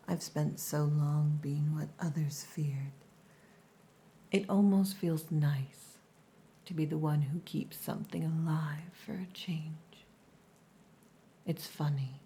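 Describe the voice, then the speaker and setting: A woman playing a possessive, gruff but tender female orc lover in an ASMR roleplay, in a breathy, mostly whispered voice with a softly commanding manner. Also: deep voice, a little hiss or hum. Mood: vulnerable, tender. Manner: slow, hushed, softly commanding.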